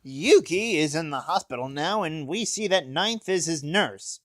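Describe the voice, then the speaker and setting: A man's voice: on the high side, animated, a little slow. A young man giving a casual comedic review, talking in a sarcastic, sweary way. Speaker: anime reviewer.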